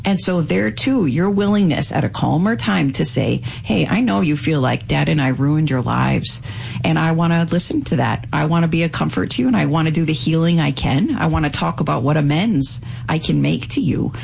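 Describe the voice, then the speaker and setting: A co-host on a call-in radio show who counsels a caller in a warm, emphatic way. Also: medium pitch, animated, moderate pace, creaky, slightly rough. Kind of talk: call-in advice talk.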